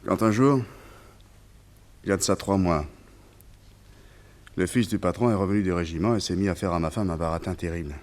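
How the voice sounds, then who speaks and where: A man's voice: deep voice, steady tone, fairly quick, long pauses, a little mellow, lightly acted. An actor playing a wistful former colonial officer turned circus tamer in a French radio serial, telling his story in a conversational, hesitant way. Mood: resigned.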